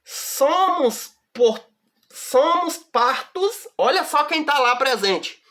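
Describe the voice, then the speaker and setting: A Brazilian woman leads a Bible study in a slightly raised voice, taking her time. She reads each verse slowly and with care. She keeps turning to the listener to make sure they follow. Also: high-pitched, animated, a bit bright. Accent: Brazilian Portuguese. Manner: reads scripture slowly and deliberately, often checks in with the listener. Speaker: Bible teacher.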